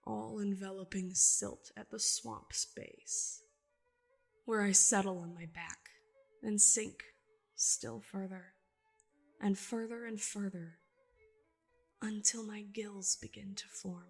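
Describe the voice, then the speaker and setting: A proud young woman of the swamp played by an actress tells her own story in a literary audio drama monologue. Her voice is breathy and husky, and she speaks slowly with deliberate, hushed intensity. Music plays behind her. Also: medium pitch, fairly even intonation, exaggerated voice. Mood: hushed, entranced. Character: young woman, swamp-dwelling healer daughter, proud and defiant.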